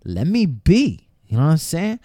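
A man with a high voice hosting online radio as a DJ, giving slangy, repetitive shout-outs. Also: wildly swooping pitch, normal speed, no echo, clean studio sound. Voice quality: high-pitched voice. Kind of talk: hype radio shout-outs over music. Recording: music playing under the voice. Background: music.

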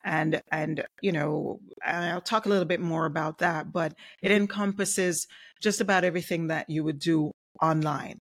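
A middle-aged woman from Jamaica with a light Jamaican accent, a guest talking in a friendly, measured way with many pauses. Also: low voice, fairly even intonation. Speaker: guest, web designer and digital marketer. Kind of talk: casual interview chat.

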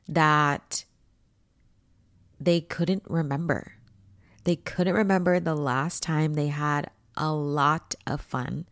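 A woman with a hoarse, froggy voice giving a casual motivational podcast talk as host and coach, informal and emphatic. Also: sits low. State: hoarse from allergies.

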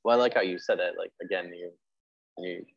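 Low-voiced, fast-talking man hosting. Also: creaky, no room sound, muffled recording. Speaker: podcast host, interviewer. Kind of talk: casual interview chat.

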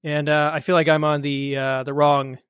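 A man's voice: fairly high pitch, moderate pace, a little hiss or hum. A man co-hosting a casual podcast, rambling loosely with plenty of fillers while reading show announcements. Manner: relaxed, rambling with many fillers. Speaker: podcast co-host. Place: home studio during a live stream.

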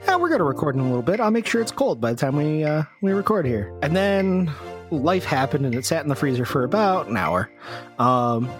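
A man's voice: a little high, big pitch swings, brisk. A man chatting casually and profanely as a comedy beer podcast co-host, with music behind him. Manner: profane, informal. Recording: clear music under the voice.

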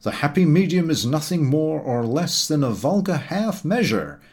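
A man's voice: a little high, sing-song, normal speed, a little mellow, pitched above the performer's own voice. A man voicing a pompous, opinionated American guest who lectures a dinner table in grand declamatory style, in a storybook reading. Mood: scornful oratory. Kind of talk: storybook character dialogue.

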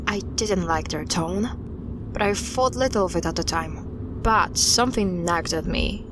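A woman playing a guarded investigator in an audio drama, recounting an unsettling case in a halting, deliberate way. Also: fairly low pitch, noisy background, natural voice. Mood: uneasy. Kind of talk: audio drama dialogue, storytelling.

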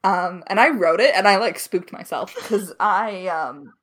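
A woman's voice: lowish voice, lively intonation, unhurried, a bit bright. A woman in a casual Q&A as a writer, talking candidly with self-deprecating humor. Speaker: lead writer of an audio drama. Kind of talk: casual Q&A conversation.

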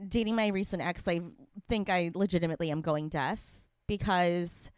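A young woman with a Midwestern accent co-hosting a podcast, chatty and quick to laugh, with a high voice. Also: clean tone, dry recording, studio quality. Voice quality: high, shrill. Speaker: podcast co-host. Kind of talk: casual chat.

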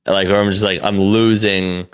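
A man's voice: deep voice, flat delivery, moderate pace, clean tone. A young man, a comedian and podcast co-host, telling a story in a loose, rambling way, full of "like" and restarts, with casual swearing. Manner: rambling, lots of "like" and restarts, profane.

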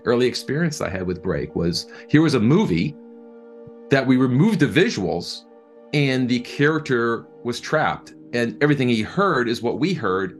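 A man answering interview questions as a producer, speaking thoughtfully and conversationally, often halting and repeating words. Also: medium pitch.

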